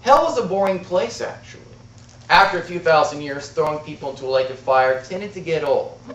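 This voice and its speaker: A man performs a tall tale like a contest storyteller, with dramatic pauses and big swings in pitch. His delivery is deliberate and theatrical. The recording is muffled and picked up from a distance in an echoing room. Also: lively intonation. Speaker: amateur storyteller in a speech contest.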